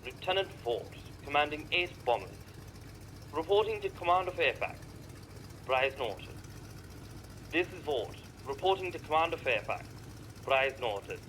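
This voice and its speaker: A man's voice: fairly high pitch, lively intonation, moderate pace, pauses often, poor audio. Man acting a bomber lieutenant over engine roar. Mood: tense, controlled. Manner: clipped, formal military reporting. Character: bomber lieutenant reporting under fire, disciplined, urgent. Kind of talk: radio play acting, military radio report. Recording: radio drama with loud aircraft engine noise. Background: droning engine.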